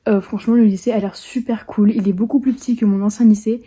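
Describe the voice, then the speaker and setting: A woman's voice: mid-range voice, steady tone, fast, mellow. A teenage girl vlogging in a casual, chatty way, with lots of little fillers as she talks. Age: teens. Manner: chatty, bubbly, lots of fillers like euh and bah. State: stuffy nose, losing her voice. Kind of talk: casual vlog chat.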